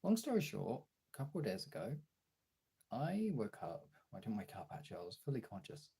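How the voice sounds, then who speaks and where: A young man with a husky voice chatting casually and informally as a livestreamer, replying to his viewers as he goes. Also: on the low side, wildly swooping pitch, fairly quick, warm tone. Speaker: streamer and video creator.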